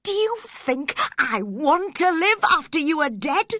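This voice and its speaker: A woman's voice: lowish voice, slow, no echo, studio quality, heavily acted. A woman reading a story voices a fierce, tormented young man crying out to his dying love. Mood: anguished. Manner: wild, pleading, then hushed.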